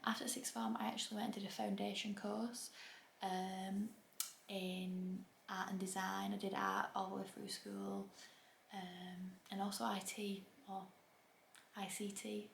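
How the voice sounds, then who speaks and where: A young woman with a Bolton accent chats casually to her camera, dropping ums and little self-deprecating jokes. Her voice carries a strong gravelly fry. The room sounds echoey, with a faint hiss underneath. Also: medium pitch. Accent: Bolton, Greater Manchester. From England. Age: 20s. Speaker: YouTuber. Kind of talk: casual talking-to-camera vlog.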